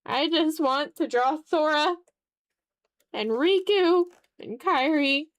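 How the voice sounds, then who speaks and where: A woman's voice: high-pitched, slow. A woman chatting casually on a live art stream as she draws, playful and a bit dramatic, with offhand swearing. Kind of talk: casual live-stream chat while drawing.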